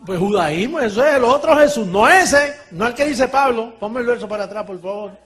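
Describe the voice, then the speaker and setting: High-voiced, animated male preacher. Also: fairly quick. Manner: animated, swings from low asides to shouting; laughs often while preaching. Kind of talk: sermon.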